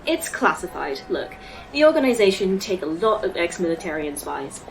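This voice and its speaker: A proud, formal A.I. guide. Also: female voice, on the low side, big pitch swings, a little fast, rough recording. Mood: reassuring, brisk. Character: polite, proud female A.I. tour guide in lifelike human form. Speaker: actor in a sci-fi horror fiction podcast. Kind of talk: audio drama acting.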